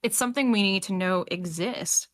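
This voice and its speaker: A woman's voice: mid-range voice, normal speed, fairly bright tone. A woman talking casually in a group discussion, earnest and emphatic, with conversational asides.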